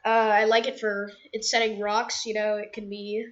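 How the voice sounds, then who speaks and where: A woman's voice: medium pitch, flat delivery, normal speed, dull audio. A woman with a head cold and a nasal voice, giving a casual, emphatic gaming analysis straight to her viewers. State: sick with a cold. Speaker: video creator.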